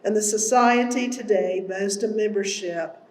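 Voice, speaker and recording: A woman presenting academic honors at a school ceremony in a formal, measured speech. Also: medium pitch, low-quality recording. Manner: slow and measured. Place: school awards ceremony hall.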